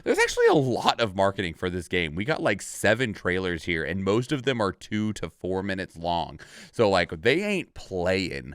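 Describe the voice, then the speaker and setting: A man giving casual, informal reaction commentary as a host. His voice is clear and smooth with lively swings in pitch, recorded dry and close, and he tends to repeat words for emphasis. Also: moderate pace, no room sound. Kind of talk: casual commentary on game trailers.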